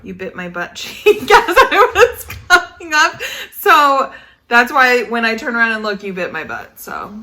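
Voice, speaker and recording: A woman's voice: a little high, big pitch swings, unhurried, pushed and bright. A young woman with an American accent chatting and telling casual stories in a playful, teasing way. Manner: playful, teasing, laughs often. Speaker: vlogger telling dating stories with partner. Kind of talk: casual storytelling chat.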